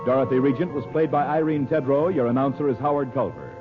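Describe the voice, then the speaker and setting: A man working as a radio announcer, giving a polished, emphatic 1940s sales pitch. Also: fast talker. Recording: dull old radio recording with music behind.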